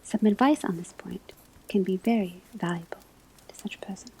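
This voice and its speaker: A woman playing a calm, scholarly professor at a witch school, whispering a lecture in a measured, deliberate ASMR roleplay. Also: medium pitch, a little hiss or hum, close to an everyday voice, poor audio. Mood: calm, instructive. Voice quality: breathy, soft whisper. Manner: measured, deliberate phrasing with frequent pauses. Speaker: ASMR performer playing a teacher.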